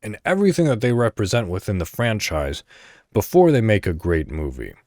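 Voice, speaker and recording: Male podcast host, low pitch, very animated intonation, dry close sound, informal solo commentary. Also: brisk, no echo. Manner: informal, conversational, rambling asides. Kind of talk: casual solo podcast commentary, partly reading articles aloud.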